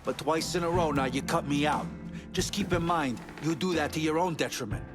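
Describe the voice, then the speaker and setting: Guy doing a bitter tough mob guy, bit high pitched, pointed and sweary, crime game w music behind. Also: fairly quick, lightly acted. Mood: bitter, warning. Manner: resentful, coarse, pointed. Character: aggrieved, tough Northern mobster with a grudge.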